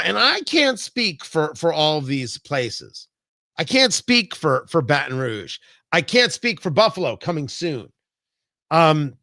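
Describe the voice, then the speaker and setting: A man's voice: on the high side, gravelly, bright, forceful tone, no room sound, clean studio sound. An American man delivering an opinionated political monologue as a radio host, emphatic and theatrical, repeating phrases for effect. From New York and New Jersey, United States.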